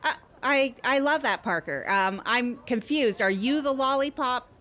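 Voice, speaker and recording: A woman's voice: high-pitched, normal speed, sharp tone. New comedy podcast host: actress as an agreeable small-town woman, effusive and polite, often correcting herself mid-sentence. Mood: eager, polite. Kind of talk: improvised comedy podcast banter.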